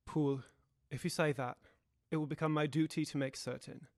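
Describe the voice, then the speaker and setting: A young man playing a grave, dutiful Victorian gentleman lawyer in an audio drama, with a formal and deliberate delivery. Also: a little high, fairly even intonation, gravelly, dry recording, clean studio sound. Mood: grave, determined. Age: young adult.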